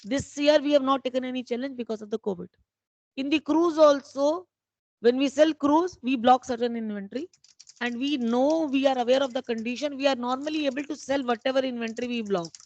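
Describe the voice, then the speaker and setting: An Indian-accented woman speaks in a fairly high-pitched voice. She answers investor questions as a company executive, explaining things plainly and matter-of-factly. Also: fairly quick, a little mellow, dry recording, dull audio. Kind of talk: investor conference call answers.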